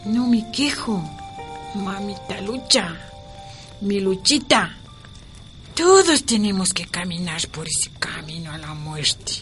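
Frail old Andean woman, slow and tender. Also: mid-range voice, expressive, a bit bright, soft background music. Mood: weary, tender. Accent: Ecuadorian Andean. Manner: slow, affectionate, pleading, full of diminutives. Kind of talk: radio drama acting.

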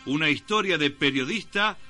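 A grandiose radio serial announcer with a fairly high voice. He delivers each phrase with mock drama over prominent music. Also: lively intonation, moderate pace, pushed and bright. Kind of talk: old-time radio serial introduction.

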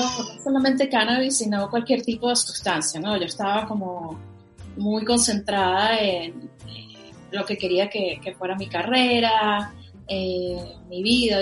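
A young Venezuelan woman with a Venezuelan accent, chatting enthusiastically as a guest on a podcast, with lots of fillers. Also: medium pitch. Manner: enthusiastic, many fillers, animated. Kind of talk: casual podcast interview.

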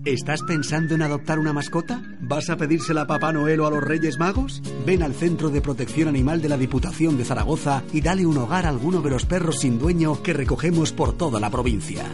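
A man with a Spanish accent and a fairly high voice announces a scripted public service advert. Music plays clearly behind him. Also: brisk. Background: prominent music.

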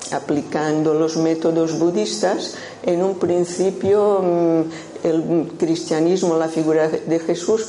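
An older woman with a Menorcan Spanish accent speaks very slowly in a soft, mellow voice. She talks to a room as a Buddhist teacher and often stops to think. The recording is roomy, with faint noise behind her. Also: sits low. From Menorca, Spain. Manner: unhurried, thoughtful, with frequent hesitations. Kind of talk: informal talk to an audience.

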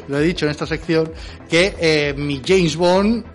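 A man's voice: a little high, takes it slowly, faint music behind. A man from Spain with a Spanish accent doing joking radio chat, theatrical and repeating himself for emphasis. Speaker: comic regular of a radio show segment.

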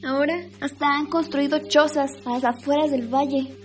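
A high-voiced female Aztec royal advisor played by a woman. She is loyal and earnest, speaking with dramatic weight as in a radio drama. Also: fairly even intonation, normal speed, faint music behind, lightly acted. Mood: reproachful, alarmed.

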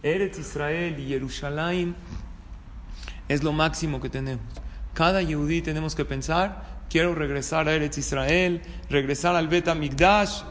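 Man, Mexican accent, higher voice, conversational religious talk, rhetorical questions, noisy reverberant room. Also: normal speed, fairly bright tone. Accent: Mexican Spanish. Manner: conversational, checking in with listeners through rhetorical questions. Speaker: rabbi giving a talk. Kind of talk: informal religious sermon with anecdotes. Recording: reverberant hall, clear background room noise.

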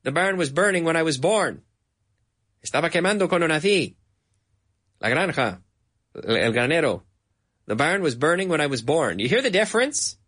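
A Canadian man giving a bilingual English lesson, speaking slowly and repeating words over and over so learners can catch the sounds. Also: on the high side, very animated, fairly bright tone. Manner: slow, repeats words for drilling. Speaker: English teacher.

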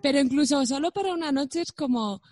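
A woman's voice: sits high, animated, brisk, background noise. A young woman with a Castilian Spanish accent, chatting casually in a frank, colloquial and reflective way. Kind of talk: casual chat.

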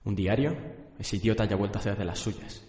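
Casting: arrogant young male villain, acted by a man, lowish, echoing, disdainful, radio play. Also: fast talker, darkish tone, close to an everyday voice. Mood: scheming. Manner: scheming, disdainful. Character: arrogant, calculating young male secret killer. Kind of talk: radio drama acting. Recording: echoey long reverb, faint music.

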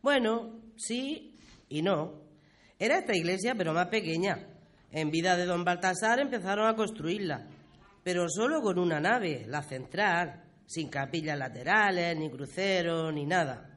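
A man's voice: high voice, animated, measured pace, fairly bright tone. A man playing a patient local villager in a radio play, explaining things colloquially. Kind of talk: conversational radio play acting.

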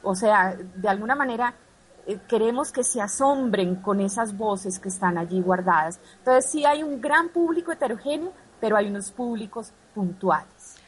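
A woman with a Colombian accent gives explanatory interview answers at a middle pitch. She lays out her points methodically, like an educator, with some noise behind her. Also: expressive, moderate pace, poor audio. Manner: didactic, enumerates points. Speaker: radio archive representative being interviewed.

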